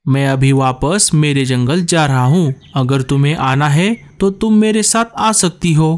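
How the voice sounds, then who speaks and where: A man's voice: on the high side, unhurried, lightly acted. A man voicing a friendly, naive, obliging male crow in a Hindi cartoon story.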